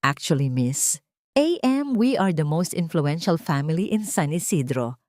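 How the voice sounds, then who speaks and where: A woman's voice: low-pitched, big pitch swings, measured pace, darkish tone, no room sound, clean studio sound. A woman voicing a proud female socialite in an audio story, holding her poise with forced firmness. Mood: insistent, boastful.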